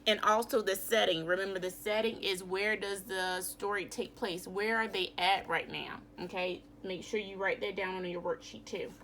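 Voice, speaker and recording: A woman teacher giving a children's read-aloud lesson in an expressive, instructive way. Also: medium pitch, normal speed, hardly any pauses, a little hiss or hum. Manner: expressive, instructive, asks questions of pupils.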